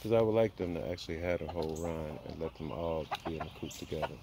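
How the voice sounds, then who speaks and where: A man's voice: very deep voice, steady tone, fast, noisy background. A man giving a casual homestead tour outdoors, thinking aloud with many fillers, filmed on a handheld phone. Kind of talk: casual walk-and-talk vlog. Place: outdoor backyard by chicken coops. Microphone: handheld phone camera.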